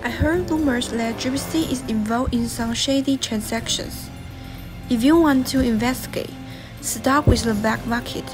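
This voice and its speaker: A woman's voice: a little high, moderate pace, lightly acted. A young woman playing an inquisitive female investigator in a student English radio drama, with a Taiwanese accent. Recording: voice over clear background music.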